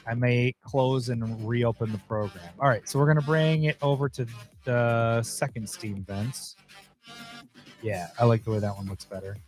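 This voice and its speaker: A man hosting a livestream talks casually as he works, his voice a little hoarse. He thinks aloud and grumbles when things go wrong. Faint music plays quietly behind him. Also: mid-range voice. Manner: chatty, easily annoyed, thinking aloud. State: slightly hoarse, losing his voice. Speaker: host. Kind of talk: casual livestream commentary while editing.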